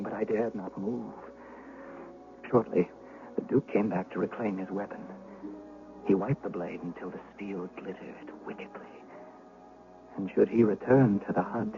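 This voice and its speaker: An actor playing a humble, fearful shepherd who tells his tale with dramatic suspense in an old-time radio drama. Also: fairly low pitch. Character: fearful humble shepherd recounting what he witnessed. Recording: hissy, muffled old radio broadcast.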